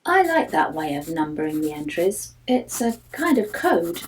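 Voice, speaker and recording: A woman's voice: on the low side, very animated, moderate pace, slight background noise. Woman reading as a candid, anxious young schoolboy. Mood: earnest, chatty. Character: anxious, candid Year 6 schoolboy writing his diary. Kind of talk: first-person children's audiobook narration.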